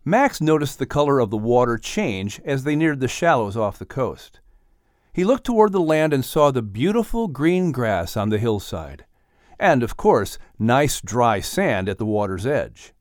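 A man's voice: mid-range voice, big pitch swings, measured pace, no echo, clean studio sound. A man announcing and narrating a children's story in an upbeat, scripted style.

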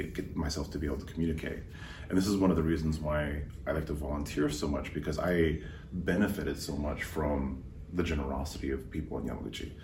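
A very low-voiced man from the States chats easily as a podcast guest, with loose fillers. He sits a little back from the mic in a roomy space with a faint hiss. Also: distant mic. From United States. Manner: relaxed storytelling with fillers. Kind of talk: casual conversation.

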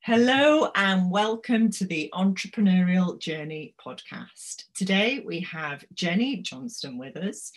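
A woman hosting a business podcast, asking her guest questions in a friendly, encouraging way. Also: mid-range voice. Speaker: podcast host. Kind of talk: interview hosting.

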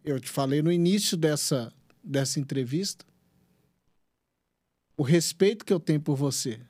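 High-voiced earnest male interviewer. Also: normal speed, a little mellow, no echo, clean studio sound. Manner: earnest, rambling, repeats himself. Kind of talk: interview questioning.

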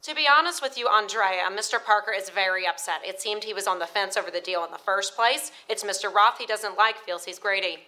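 Woman acting a bright, brisk office secretary. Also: medium pitch, natural voice, poor audio. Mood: frank, concerned. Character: brisk, frank office secretary, kindly but firm. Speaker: radio drama actor. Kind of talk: live radio drama acting.

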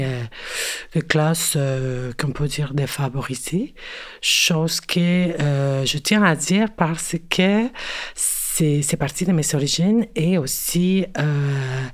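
A woman from Mexico City with a Mexican Spanish accent, answering interview questions in French with many hesitations and fillers. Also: low voice. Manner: hesitant, many pauses and "euh" fillers, restarts. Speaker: guest, cultural worker and curator.

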